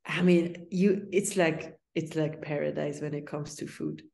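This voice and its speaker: A Swiss woman whose first language is Swiss German, speaking English with a Swiss German accent as a guest journalist in a casual interview, thoughtful and hesitant with many pauses. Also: deep voice, steady tone, vocal fry, darkish tone. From Switzerland.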